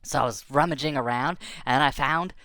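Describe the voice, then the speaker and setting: A woman's voice: a bass voice, fairly quick, fairly bright tone, dry recording, studio quality. A woman telling an improvised children's tale in a playful way, repeating phrases for effect. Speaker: storyteller.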